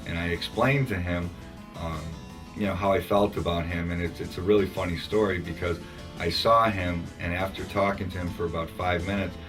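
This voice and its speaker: A thirty-year-old man with a very deep, flat voice speaks haltingly, stumbling over his words and filling gaps with "uh" and "um". He sounds far from the mic, with guitar music clearly audible underneath. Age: 30s. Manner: halting, with many fillers and repeated words. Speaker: cancer survivor, former athlete. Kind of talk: personal testimonial.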